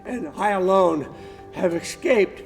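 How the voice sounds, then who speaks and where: A man playing a messenger with news of disaster in a church Bible drama, reciting in an animated way. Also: sits high, slow pace, vocal fry, exaggerated voice. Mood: urgent news. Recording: reverberant hall, faint music behind.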